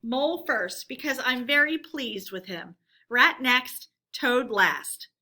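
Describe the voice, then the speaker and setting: A woman voicing a commanding male badger in a storybook, brisk, bossy and decisive. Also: sits high, animated, sharp tone, exaggerated voice. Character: gruff, commanding male badger leader, impatient but fair.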